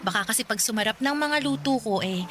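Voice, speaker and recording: Woman acting a high-voiced playful young college girlfriend. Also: animated, fast talker, lightly acted. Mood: playful, chatty. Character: cheerful ambitious college girlfriend, playful but firm. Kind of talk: Tagalog audio love-story drama acting. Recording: music playing behind the voice.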